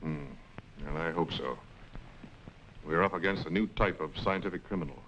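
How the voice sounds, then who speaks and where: Blunt, challenging city mayor, deep register, muffled 1940s serial soundtrack. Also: male voice, moderate pace, a bit bright. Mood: skeptical, grave. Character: gruff, impatient male city mayor. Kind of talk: 1940s film serial acting. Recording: dull, noisy 1940s film soundtrack.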